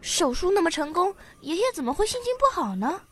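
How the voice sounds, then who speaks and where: Woman acting a high-voiced, curious little girl. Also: sing-song, brisk, slight background noise. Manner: eager, questioning, childlike. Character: curious, quick-witted little granddaughter. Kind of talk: radio drama acting.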